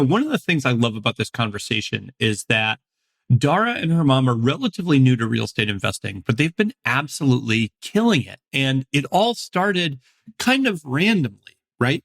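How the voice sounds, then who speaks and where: Hoarse, chatty male podcast host. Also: medium pitch. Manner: chatty, enthusiastic, self-deprecating jokes. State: hoarse from a cold. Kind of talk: casual interview chat.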